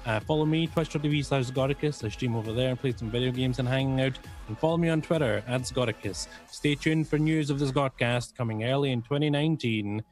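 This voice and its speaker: A man player giving an upbeat shout-out, reeling off his channels and plans. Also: medium pitch, brisk, few pauses, faint music behind. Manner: upbeat, reels off plugs. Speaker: player and streamer. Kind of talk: casual stream shout-out.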